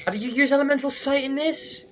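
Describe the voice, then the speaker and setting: A woman's voice: high voice, fairly even intonation, quick pace, a bit bright. A woman gamer giving casual, chatty let's play commentary on a video game. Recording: dull mic, game music faintly behind.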